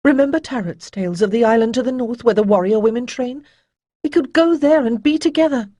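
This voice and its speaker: A woman's voice: fairly high pitch, brisk, dark tone, no room sound, lo-fi sound, pitched above the performer's own voice, lightly acted. A woman voicing an intense young priestess who pleads urgently and sometimes drops to a whisper. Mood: pleading.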